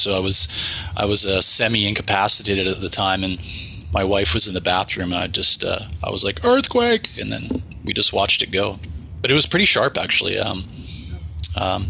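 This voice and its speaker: A Canadian man chatting casually on an online call, polite and a bit halting. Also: on the low side, animated, gravelly, pushed and bright, slight background noise. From British Columbia, Canada. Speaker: guest, energy worker. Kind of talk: casual chat.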